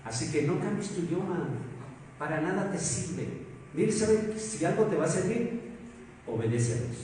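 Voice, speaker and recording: Mexican preacher, male, warm higher voice, emphatic sermon with rhetorical questions; distant mic, long echo. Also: lively intonation, normal speed, a little hiss or hum. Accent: Mexican Spanish. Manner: rhetorical questions, emphatic swings between loud and soft. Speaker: pastor. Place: church hall. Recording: echoey hall, mic far off.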